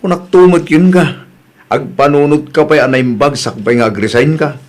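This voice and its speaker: A man's voice: a little high, normal speed, close to an everyday voice. A man playing a village man who patiently chides and advises, in an Ilocano radio-style drama with a reasoning delivery. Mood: chiding, advising.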